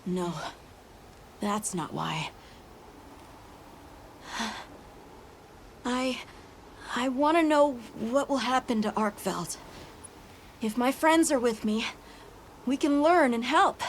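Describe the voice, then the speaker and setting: A woman playing an earnest, anxious but determined young boy in a video game, sincere and halting. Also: a little high, animated, a little hiss or hum. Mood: hesitant resolve. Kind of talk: cinematic video game dialogue. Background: music.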